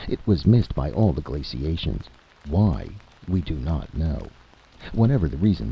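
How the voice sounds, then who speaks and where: A man voicing an exasperated, forceful general who argues emphatically and impatiently in a story reading, on a dull, noisy recording. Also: a bass voice, creaky, full character voice. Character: exasperated, forceful male military general arguing his case. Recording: dull lo-fi audio with steady hiss.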